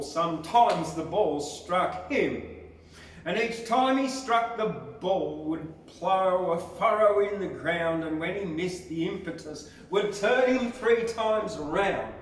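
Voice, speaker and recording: A man reciting a bush ballad as a poetry reader, in a theatrical, deliberate storytelling style. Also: sits high. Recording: very echoey, distant microphone.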